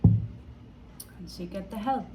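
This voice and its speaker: Slow-paced Norwegian woman reading tarot. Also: medium pitch, heavy background noise. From Norway. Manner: halting, pausing, repeats phrases. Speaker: tarot reader.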